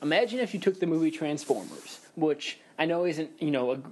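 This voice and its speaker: A man's voice: on the high side, animated, a little fast, a little mellow, low-quality recording. A young man co-hosting a casual podcast, joking and rambling and laughing often as he talks. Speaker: podcast co-host.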